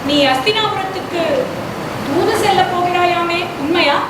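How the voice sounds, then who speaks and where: A woman's voice: very high voice, a little slow. A woman playing a pleading vow-bound queen. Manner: pleading, earnest. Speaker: stage actor. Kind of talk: stage play acting. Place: auditorium stage. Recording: distant echoey hall, noisy.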